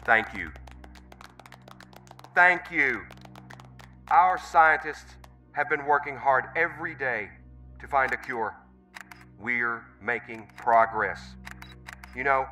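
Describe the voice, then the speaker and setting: A man's voice: medium pitch, measured pace, long pauses, pushed and bright, far from the mic, faint music behind. A man playing an earnest, grandiose chief executive giving a public speech in a radio drama. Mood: earnest, rousing.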